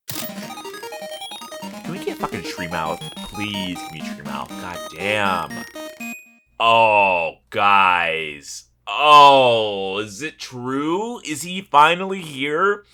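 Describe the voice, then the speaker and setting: A man with a fairly low voice and a bright, forceful edge banters as a podcast co-host. His delivery swings widely in pitch, and he is teasing and profane. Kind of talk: casual comedic banter.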